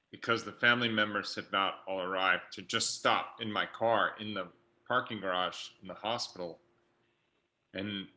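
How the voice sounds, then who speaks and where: A man with a naturally loud, very bright and forceful voice, pitched a little low. He talks in a measured, thoughtful way, with pauses. He is heard over a video call mic. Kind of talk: reflective group conversation. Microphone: computer mic on a video call.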